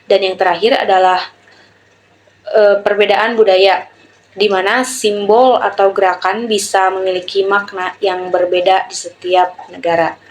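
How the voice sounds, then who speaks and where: A woman's voice: mid-range voice, flat delivery, a little slow, rough recording. A young woman giving a prepared student presentation, telling and explaining an example. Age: young adult.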